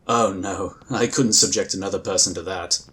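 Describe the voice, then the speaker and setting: A man playing a calm, diplomatic male inquisitor who talks in a measured, courteous way. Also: sits low. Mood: friendly. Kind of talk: fan audio drama acting.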